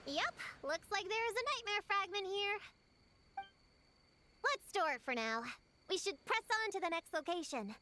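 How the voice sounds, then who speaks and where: A woman's voice: extremely high pitch, animated, a little slow, pauses often, bright, forceful tone, a little hiss or hum, full character voice. A woman voicing an earnest, bossy little witch girl who lectures about creatures in a game. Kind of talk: game voice acting.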